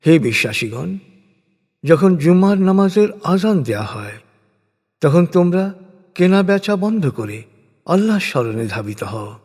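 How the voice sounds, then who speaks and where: A man narrating translated scripture in a solemn, measured, declamatory scripted style. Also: sits high, sing-song, a little mellow. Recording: long reverberant hall-like echo.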